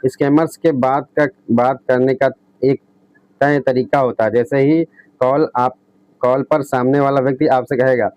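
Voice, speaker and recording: A man's voice: mid-range voice, fairly even intonation, measured pace, a little mellow, no echo. A man explaining tech as a video host, reading out points and restating them with frequent checking tags. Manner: repetitive, often adds a checking tag. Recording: dull lo-fi close recording.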